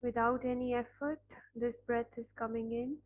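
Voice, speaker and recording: A woman leading a guided meditation, speaking softly with long pauses between phrases. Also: a little high, little pitch movement, narrow, tinny audio, slight background noise. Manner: slow, soft, pausing between phrases.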